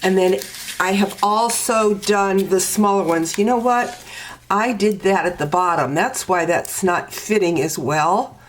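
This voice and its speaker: Slow, stuffy-nosed woman demonstrating crafts. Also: mid-range voice, slight background noise, low-quality recording. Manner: slow, step-by-step instructing with fillers. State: congested, stuffy nose. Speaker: card-making demonstrator. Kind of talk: crafting tutorial walkthrough.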